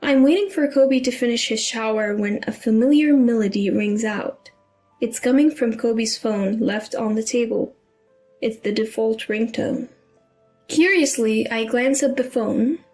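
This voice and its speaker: A woman's voice: on the high side, a little slow, a little mellow, faint music behind. A woman reading a scripted first-person story as its narrator.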